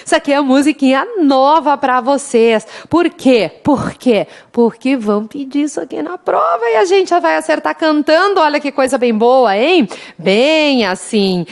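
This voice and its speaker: High-voiced woman teacher with southern Brazilian accent. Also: expressive, moderate pace, hardly any pauses. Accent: interior Rio Grande do Sul Brazilian Portuguese. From interior of Rio Grande do Sul, Brazil. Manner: chatty, teasing, addresses students warmly as "gente". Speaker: law exam prep teacher. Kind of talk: lively online class, singing mnemonic samba.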